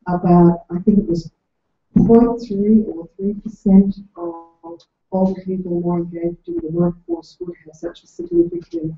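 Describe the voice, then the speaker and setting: Soft-voiced woman, lively pitch, gravelly edges, asking from the floor in an echoey room. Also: unhurried, soft background music. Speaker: audience member asking a question. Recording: echoey room, dull lo-fi sound.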